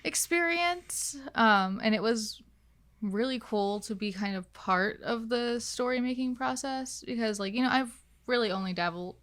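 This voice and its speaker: A woman's voice: fairly high pitch, normal speed, a bit bright. A woman speaking as a podcast guest in casual conversation, thinking aloud with frequent filler words. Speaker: podcast guest, game designer. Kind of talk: casual chat.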